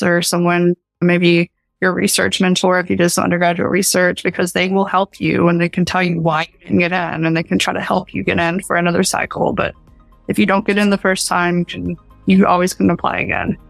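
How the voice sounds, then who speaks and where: Creaky-voiced young woman with Appalachian accent. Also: lowish voice, little pitch movement, normal speed, dry recording, soft background music. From Appalachia, United States. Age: young adult. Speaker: professor and research scientist, podcast guest. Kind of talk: conversational interview answers.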